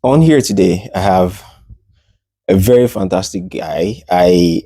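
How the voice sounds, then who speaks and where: A man's voice: low-pitched, a little slow, no echo, studio quality. A man hosting a casual interview, asking questions and reacting often with short affirmations. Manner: warm affirmations, frequent "wow" and "okay". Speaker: host.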